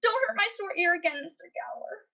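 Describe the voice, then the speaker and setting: Radio play: woman as pleading schoolboy, earnest and scared, very high, piercing, slightly lo-fi. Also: brisk, creaky, sharp tone, exaggerated voice. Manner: pleading, urgent. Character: earnest, frightened young schoolboy shop helper. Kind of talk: old-time radio play acting.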